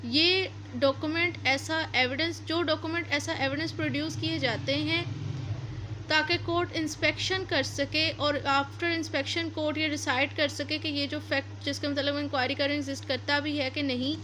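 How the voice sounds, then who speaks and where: A woman's voice: high-pitched, steady tone, normal speed, sharp tone. A woman with a Pakistani accent giving an explanatory lecture, didactic, restating key points as she goes. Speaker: law lecturer. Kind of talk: explanatory law lecture with examples. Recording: noticeable background noise.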